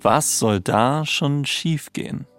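A man's voice: mid-range voice, slow pace, fairly bright tone. A man co-hosting a podcast, reading scripted narration. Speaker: podcast co-host. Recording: music playing behind the voice. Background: music.

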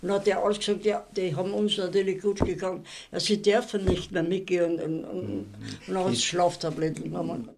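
An older woman casually recalling the past in an interview, telling it in a halting, repetitive way. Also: on the low side. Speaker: interviewed eyewitness. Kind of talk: casual spoken recollection. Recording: noisy interview recording with clicks.

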